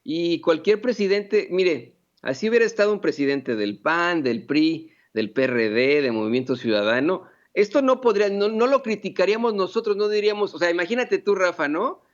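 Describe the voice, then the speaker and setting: A man's voice: high-pitched, animated, normal speed, hardly any pauses. A man with a Mexican Spanish accent co-hosting political commentary, rambling with many fillers and restarts. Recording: dull lo-fi sound.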